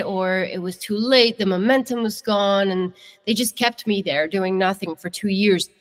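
Guest: young woman from Italy, candid storyteller, mid-pitched voice with a long hall-like reverb. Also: fairly bright tone. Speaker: guest singer-songwriter. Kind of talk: casual interview.

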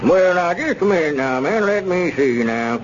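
Blustering, evasive small-town squire, high-pitched. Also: male voice, expressive, normal speed. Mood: hedging, explaining. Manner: blustering, protesting innocence in folksy phrasing. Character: evasive small-town squire peddling dubious mining stock. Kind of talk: 1930s radio comedy serial acting. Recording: muffled, noisy 1930s radio recording.

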